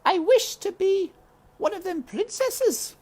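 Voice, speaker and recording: A woman's voice: extremely high pitch, lively intonation, takes it slowly, darkish tone, voice pushed up, exaggerated voice. A woman voicing a hopeful, naive seven-year-old peasant girl with earnest, uneducated childlike speech in a storybook reading. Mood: wistful. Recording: windy outdoor ambience noise.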